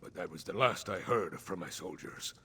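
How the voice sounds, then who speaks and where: An actor playing a proud, weary, aging northern jarl in a video game, blunt and sardonic, with a gritty voice. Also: male voice, low-pitched, animated, normal speed, sharp tone, husky, in a large hall, full character voice. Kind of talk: video game character acting.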